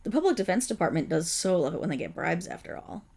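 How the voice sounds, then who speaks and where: A woman's voice: fairly low pitch, very animated, fast talker, creaky, a little mellow, slightly rough, full character voice. A woman voicing a suave, scheming villain boss who talks in a silky, condescending way and purrs endearments, in an ASMR roleplay audio drama. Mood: scornful gossip. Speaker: voice actor.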